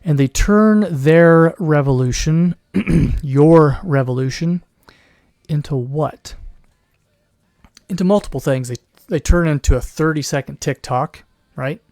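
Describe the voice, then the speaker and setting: A man talking alone on his podcast with a hoarse, worn voice, sometimes clearing his throat. He rambles and restarts his sentences, now and then slipping into a mocking put-on voice. The sound is dry and close, with no room around it. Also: on the high side, slow, a little mellow, no echo. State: losing his voice. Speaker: podcast host. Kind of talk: solo commentary podcast.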